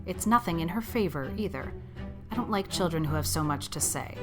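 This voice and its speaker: A woman playing a stern, sharp-tongued, unyielding older spinster farm woman in an audiobook, brisk and scolding. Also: on the low side, animated, music in the background, natural voice. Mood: curt, resolute. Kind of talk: audiobook character acting.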